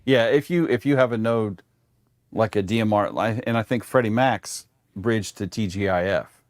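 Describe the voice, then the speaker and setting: Low male voice explaining gear casually. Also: normal speed, dry recording, clean studio sound. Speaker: livestream guest. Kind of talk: casual explanatory tech chat.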